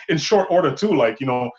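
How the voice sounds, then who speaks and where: A man's voice: a little high, expressive, normal speed, highs cut off. A man in his thirties from Saint Lucia, a filmmaker guest talking thoughtfully and rambling a bit. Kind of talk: casual interview chat.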